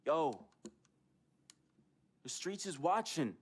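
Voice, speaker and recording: A man's voice: fairly high pitch, big pitch swings, drawn-out words, slightly rough, heavily acted. An American man acting a streetwise, needling friend in a video game cutscene, slangy and insistent. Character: streetwise, needling best friend of star athlete.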